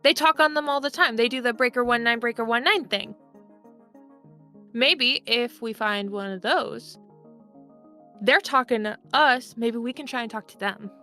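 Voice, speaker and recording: Bright, higher-voiced woman roleplaying. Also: moderate pace. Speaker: tabletop game player. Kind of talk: casual improvised in-character chat. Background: background music.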